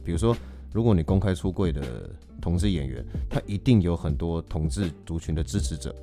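A man with a very deep, mellow voice and a Taiwanese Mandarin accent talks as a guest on a podcast. He explains his opinions in a conversational way, leaning on examples. Light music plays underneath. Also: expressive, fairly quick. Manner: explains through examples and hypothetical quotes. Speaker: guest, actor.

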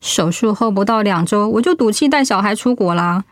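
A woman's voice: mid-range voice, lively intonation, a little fast, dry recording, clean studio sound, natural voice. A woman acting a proud, stubborn middle-aged wife and mother in a radio drama, blunt and sardonic. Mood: bitter, resigned.

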